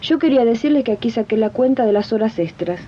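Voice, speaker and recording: An actress playing a determined domestic worker in a radio drama, polite, plain-spoken and proudly firm. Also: female voice, animated, quick pace, dark tone, natural voice. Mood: polite, insistent. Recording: dull lo-fi recording with rumbling noise.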